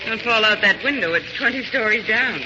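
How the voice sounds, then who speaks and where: An actress playing a brisk, wry newspaper secretary in a vintage radio drama, with a clipped, efficient manner. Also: female voice, on the high side, natural voice. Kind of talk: 1940s radio drama acting. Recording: very noisy, muffled old radio recording.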